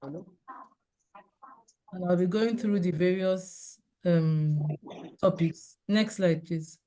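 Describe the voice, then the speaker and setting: A woman with a cold, hoarse and clearing her throat now and then, giving a measured slide-based health seminar over a video call. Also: fairly low pitch, mellow. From Nigeria. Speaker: seminar speaker. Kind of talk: online health talk with slides.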